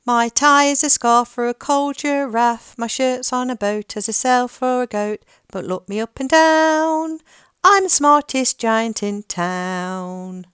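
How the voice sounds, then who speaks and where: A woman's voice: fairly high pitch, slow pace, fairly bright tone, smooth, no echo, studio quality, soft background music, pitched above the performer's own voice. A woman voicing a cheerful, generous male giant in a storybook, with a bouncy, sing-song manner.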